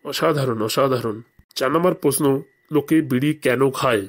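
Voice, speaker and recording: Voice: mid-range voice, fairly quick, lightly acted. A performer voicing a stern, sarcastic male headmaster in a comedy cartoon. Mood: exasperated, sarcastic. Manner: sarcastic, scolding interrogator.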